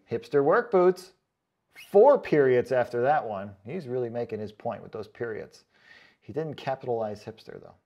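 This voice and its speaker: A man hosting a casual vlog, reading out comments in a chatty, joking way with sarcastic asides and drawn-out comic emphasis. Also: mid-range voice, a little mellow, lo-fi sound. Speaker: online boot reviewer and video host.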